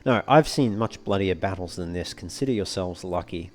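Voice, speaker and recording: Low-voiced man doing game commentary. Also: moderate pace. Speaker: let's play host. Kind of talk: casual gameplay commentary, reading game dialogue aloud. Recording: game music faintly behind the voice. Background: video game music.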